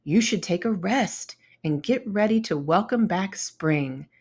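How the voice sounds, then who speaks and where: A woman voicing a kindly, soft-spoken old male stag beetle in a children's storybook read-aloud. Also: mid-range voice, animated, a little slow, breathy edge. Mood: gentle, reassuring. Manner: gentle, soft-spoken, grandfatherly.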